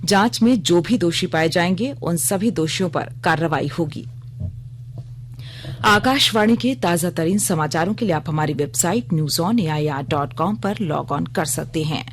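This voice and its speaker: A woman reading a scripted radio news bulletin in a formal, measured manner. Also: fairly low pitch, slight background noise.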